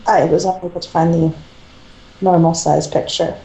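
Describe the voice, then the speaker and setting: A woman's voice: lowish voice, flat delivery, normal speed, dark tone. A woman talking in a casual, conversational way. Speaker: fan fiction reader. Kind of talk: casual chat. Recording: roomy echo.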